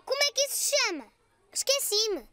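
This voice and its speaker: A woman voicing a cheerful, curious four-year-old cartoon boy in a European Portuguese dub, with a very high childlike voice. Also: big pitch swings, fairly quick, pushed and bright, dry recording, exaggerated voice. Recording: children's music playing behind.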